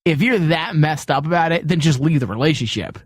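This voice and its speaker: A man's voice: on the high side, expressive, fairly quick, slightly rough, no echo. A man hosting a casual comedy talk show, brash and joking, with crude, profane banter. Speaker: podcast host.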